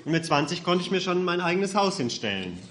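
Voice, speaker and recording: A man's voice: high-pitched, sing-song, a little fast, fairly bright tone, slight background noise. A man interpreting a speaker's testimony phrase by phrase, matter-of-fact, with occasional hesitation sounds. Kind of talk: consecutive interpreting.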